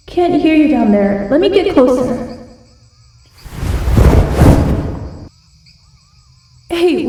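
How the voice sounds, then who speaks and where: A woman voicing a shy giantess wolf girl in a slow, hesitant ASMR roleplay. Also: sits high, warm tone. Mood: playful, affectionate. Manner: slow, hesitant, stammering when flustered. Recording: heavily echoing, distant-sounding voice.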